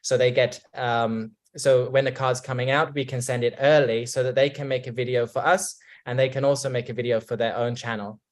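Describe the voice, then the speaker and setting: A man's voice: mid-range voice, steady tone, brisk, few pauses. A man from England, a company guest on a video call, speaking casually and hesitantly with many restarts.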